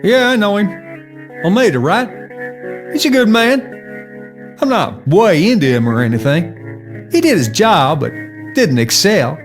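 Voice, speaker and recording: An envious small-town local for an animated game cutscene. The delivery is dismissive and begrudging, swinging widely in pitch, with clear guitar music underneath. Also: measured pace, pauses often. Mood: resentful.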